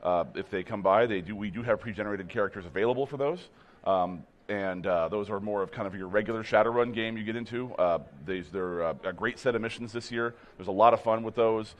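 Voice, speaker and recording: Hoarse guy, lowish voice, rambles about game sessions. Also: a little fast, dull audio. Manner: rambling, many restarts, upbeat pitch. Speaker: game demo team lead. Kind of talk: casual chat.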